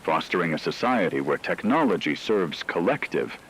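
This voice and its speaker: A man playing a formal, measured radio news anchor reading scripted reports in a solemn manner. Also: sits low, very animated, a bit bright, a little hiss or hum, heavily acted, poor audio. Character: grave male radio news anchor in a dystopian broadcast.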